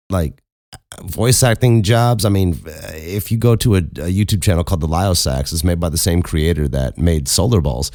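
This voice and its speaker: A young man hosting a casual Q and A, with a very low speaking voice, rambling and self-mocking and swearing casually. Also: a little mellow, dry recording, clean studio sound. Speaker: podcast host and voice actor. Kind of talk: casual Q and A chat.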